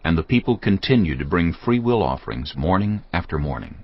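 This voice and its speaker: A man narrating scripture in a measured, reverent way. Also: sits low, sing-song, no echo. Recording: dull studio recording with soft music. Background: soft background music.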